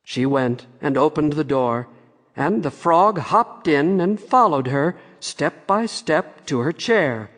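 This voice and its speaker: A man narrating a fairy-tale audiobook in a measured storytelling way, with long reverb on the recording. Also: on the high side, expressive, a bit bright.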